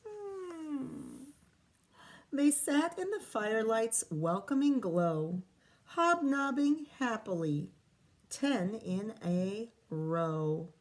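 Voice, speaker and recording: Woman teacher, slightly high voice, slow read-aloud with questions for kids. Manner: expressive, asks questions and counts aloud with children. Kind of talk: children's read-aloud with commentary.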